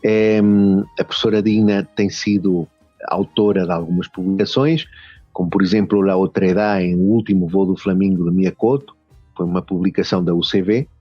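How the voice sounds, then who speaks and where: A man's voice: deep voice, fairly even intonation, moderate pace, slightly rough, dry recording, highs cut off, faint music behind. A man with a European Portuguese accent hosting a radio interview, hesitant and full of fillers. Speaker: radio host.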